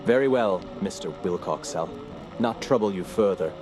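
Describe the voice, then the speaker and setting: An actor playing an earnest, troubled scholar in a radio drama, speaking in a formal, measured, literary way. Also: male voice, deep voice, expressive. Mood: polite, reserved. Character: earnest, troubled male scholar investigating a sinister cult. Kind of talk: radio drama narration and dialogue. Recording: music clearly behind the voice. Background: music.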